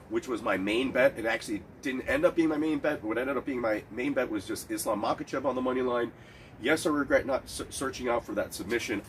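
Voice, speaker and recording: A man's voice: medium pitch, slight background noise. A man with a stuffed-up nose, rambling casually in a solo talk about fights and bets, with many restarts and fillers. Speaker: cigar and MMA betting reviewer.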